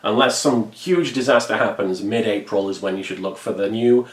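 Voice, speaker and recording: A man's voice: lowish voice, normal speed. A man speaking earnestly and conversationally, giving a casual update to supporters as a web video creator. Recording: echoey room.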